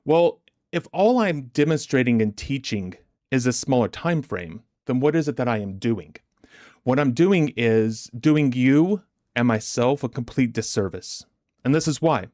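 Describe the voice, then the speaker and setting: A man hosting a livestream as a motivational trading coach, deliberate and emphatic, his chest a little congested from a recent illness. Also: mid-range voice, expressive, darkish tone, no room sound, clean studio sound. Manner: deliberate, emphatic, repetitive rhetorical questions. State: chesty, getting over bronchitis, clearing throat.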